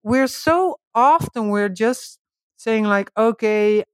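Dutch-speaking woman, lively and laughing. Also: on the high side, slow pace, no room sound, studio quality. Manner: animated, laughing often, many restarts. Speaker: guest. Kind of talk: relaxed spiritual conversation.

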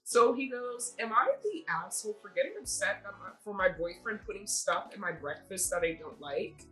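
A woman hosts a casual podcast with a bright, forward voice at a middle pitch. She tells and reads stories in an animated, conversational way, speaking directly to a co-host. Music plays clearly behind her. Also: normal speed, rough recording. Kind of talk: casual chat with reading aloud of online stories.

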